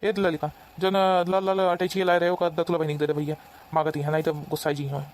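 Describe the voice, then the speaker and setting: An actor voicing an exasperated village man in a comedy cartoon, hurried and placating, with an Awadhi accent. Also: mid-range voice, level tone, a little mellow, a little hiss or hum, natural voice.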